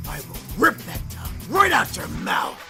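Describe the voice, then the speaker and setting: A woman's voice: a little high, huge pitch swings, moderate pace, over music. A woman voicing an arrogant, enraged male demon leader from a visual novel, snarling and taunting. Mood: snarling, irritated. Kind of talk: visual novel villain dialogue read aloud.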